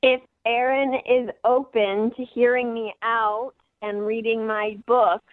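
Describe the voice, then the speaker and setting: A woman's voice: on the high side, flat delivery, slow pace, no room sound. A woman calling in to a radio show, speaking casually in an earnest, insistent way over the phone. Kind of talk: casual conversation. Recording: tinny narrow phone line.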